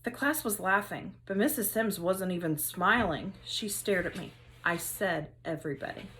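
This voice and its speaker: A woman teacher reading a children's story aloud, expressive and with dramatic emphasis. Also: mid-range voice, unhurried, soft background music.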